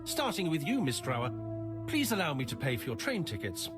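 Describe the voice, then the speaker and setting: An earnest, well-mannered gentleman speaks in a lightish, high-set voice with lively rises and falls. He is formal and obliging, in video game dialogue with clear music behind him. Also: fairly quick. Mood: earnest, generous. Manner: polite, formal.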